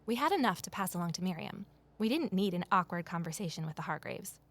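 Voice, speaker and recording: A woman's voice: lowish voice, expressive, fast talker. A woman acting in an audio drama as an earnest, inquisitive amateur sleuth who also narrates her own story. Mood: composed narration. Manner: earnest, questioning. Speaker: lead character and narrator.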